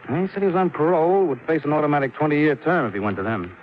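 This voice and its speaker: An actor playing a serious, guarded chief insurance adjuster in a 1950s radio drama, speaking gravely and deliberately. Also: male voice, a little high, close to an everyday voice. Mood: guarded, earnest. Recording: dull, noisy 1950s radio recording.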